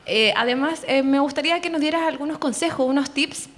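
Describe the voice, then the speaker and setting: A woman's voice: sits high, fairly quick. A young woman, a journalism student, interviewing a guest in a hesitant way with many filler sounds. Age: young adult. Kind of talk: conversational interview.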